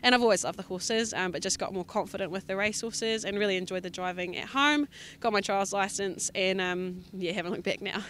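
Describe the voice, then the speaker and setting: A woman's voice: medium pitch, brisk, a bit bright, slight background noise. A young woman in her twenties with a New Zealand accent, a junior harness driver giving upbeat, modest interview answers with plenty of ums. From Southland, New Zealand.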